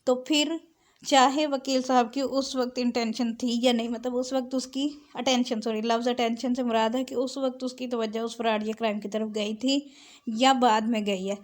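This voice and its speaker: High-voiced woman giving an explanatory lecture. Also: steady tone, moderate pace. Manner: code-switches between Urdu-Hindi and English. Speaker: law lecturer. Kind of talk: explanatory lecture, reading clauses then explaining them.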